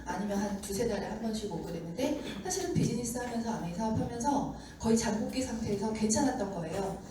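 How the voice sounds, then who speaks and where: A woman giving a seminar talk to an audience, slightly hoarse from a sore throat, chatty and acting out the doubts of skeptics. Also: fairly high pitch, expressive, quick pace, warm tone. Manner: chatty, acts out doubters' lines. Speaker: seminar speaker. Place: lecture room with audience. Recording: echoey hall mic from far off with noise.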